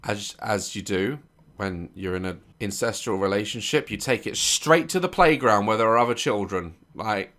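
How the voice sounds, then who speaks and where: A man with a hoarse, sore throat hosting a reaction video, joking and swearing as he comments. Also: lowish voice, normal speed, a bit bright. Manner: jokey, irreverent, swearing.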